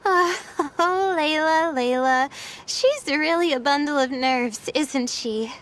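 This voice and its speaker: A woman's voice: high-pitched, takes it slowly, fairly bright tone. A woman voicing a composed, confident young woman in an anime game, easygoing and lightly teasing. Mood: breezy, confident. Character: composed, breezy young woman, sleepwalking student's confident alter ego.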